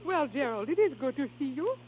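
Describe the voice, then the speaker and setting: Grand, spoiled opera diva, theatrical and formal, very lively melody, 1930s radio drama with narrow, noisy sound. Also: female voice, sits high, fairly quick, over a noisy crowd. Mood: arch, composed. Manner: dramatic, imperious, formal phrasing. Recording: scratchy, narrow 1930s radio recording with hum.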